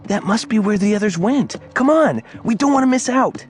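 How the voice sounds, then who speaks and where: A woman's voice: medium pitch, lively intonation, moderate pace, darkish tone, soft background music, lightly acted. A woman voicing a bold, stubborn, adventurous young boy in an audiobook story, speaking with confidence and urgency. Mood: eager. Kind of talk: audiobook character acting.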